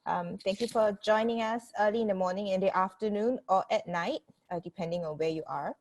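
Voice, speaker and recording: A woman's voice: medium pitch, brisk. A woman hosting an online book launch, upbeat and using some fillers as she moves to the questions. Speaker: event host. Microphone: video call.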